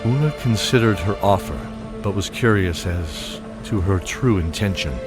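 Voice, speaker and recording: A man narrating a fantasy audio drama in a steady, descriptive way. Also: deep voice, normal speed, over music.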